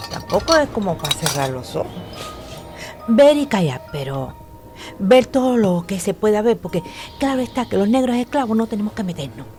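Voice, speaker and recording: A gossipy enslaved cook woman, muttering to herself with lively swoops of pitch and folksy exclamations. Music plays behind her, with kitchen dishes clinking, in radio drama fashion.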